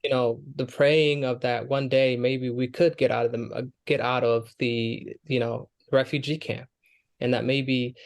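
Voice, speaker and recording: An earnest young man tells his life story in a relaxed interview. His pitch sits in a middle range. He talks with lots of "like" and restarts. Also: unhurried. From Kenya, Somali family. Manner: earnest, with frequent fillers and restarts. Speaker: guest, Air Force airman.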